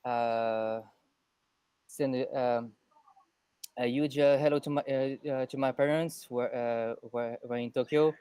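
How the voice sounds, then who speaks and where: A man from Switzerland, a guest on a live radio program, who talks hesitantly with many pauses and repeated words. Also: medium pitch, steady tone, dark tone, clean tone, no echo.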